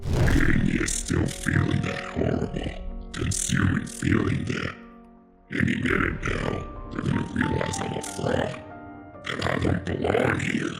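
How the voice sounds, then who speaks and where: A man voicing a weary, self-doubting animatronic alligator in a comic dub, gruff and brooding as he talks to himself. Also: completely flat delivery, measured pace, exaggerated voice. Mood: wistful. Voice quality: deep, gravelly growl. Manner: brooding monologue, muttering to himself. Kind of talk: dramatic comic dub monologue. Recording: loud music behind the voice.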